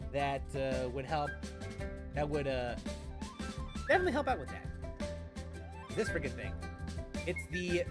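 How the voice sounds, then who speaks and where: A young man with a high, animated voice talks through his gear in a loose, rambling way, stopping and restarting his sentences. Music plays clearly behind him. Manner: rambling, many fillers and restarts. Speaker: gaming channel host. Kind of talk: casual unscripted vlog talk.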